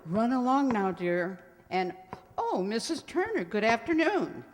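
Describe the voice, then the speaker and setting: A woman acts as a fussy older librarian in an old radio play. She talks slowly and primly, with fluttery swings of pitch, in an echoing hall. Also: big pitch swings, background noise. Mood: flustered, polite. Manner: prim, fluttery. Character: prim, fussy, rule-bound older female librarian. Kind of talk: 1940s radio acting. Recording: echoey hall.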